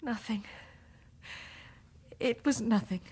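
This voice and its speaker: An actress playing an anxious, secretive wife in a period radio drama, halting and breathless. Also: female voice, fairly high pitch, a little mellow, husky. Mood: subdued, uneasy. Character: anxious, secretive wife pleading with her husband.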